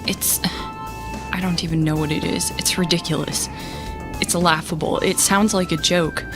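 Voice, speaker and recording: A woman's voice: lowish voice, steady tone, measured pace, lightly acted. Woman acting exasperated young space captain, music behind. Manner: sarcastic, bickering, conversational. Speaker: narrator and lead character. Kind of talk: audio drama narration addressed to listeners.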